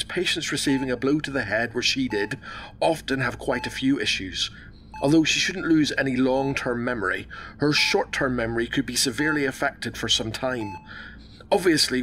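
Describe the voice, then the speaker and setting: Hospital doctor, bright forward voice, measured, reassuring, deliberate, radio drama, faint background noise. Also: male voice, mid-range voice, low-quality recording. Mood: measured, grave. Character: calm, measured hospital doctor consulting a worried husband.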